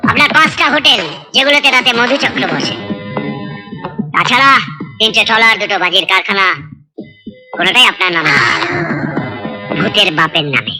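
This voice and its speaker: A woman voicing a bold, unflappable police officer in comic cartoon dialogue, deliberate and taunting. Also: medium pitch, lively intonation, lightly acted. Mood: coolly accusing. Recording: dialogue under clear background music. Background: music.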